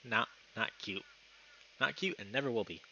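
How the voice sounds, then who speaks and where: A man's voice: mid-range voice, moderate pace, bright, forceful tone, dull audio, slight background noise. A young man live streaming, moving between casual chat and reading story narration aloud.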